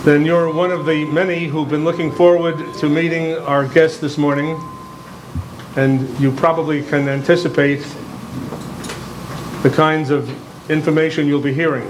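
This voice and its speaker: A man's voice: fairly high pitch, level tone, normal speed. A man acting as event host, giving a formal introduction of a guest speaker. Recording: noisy live event room recording.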